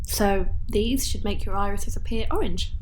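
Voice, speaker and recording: A woman's voice: medium pitch, normal speed, a little hiss or hum, close to an everyday voice, low-quality recording. An actress playing a practical, slightly impatient woman who owns a spaceship, explaining things matter-of-factly in an audio drama. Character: practical, brisk female spaceship owner guiding a newcomer.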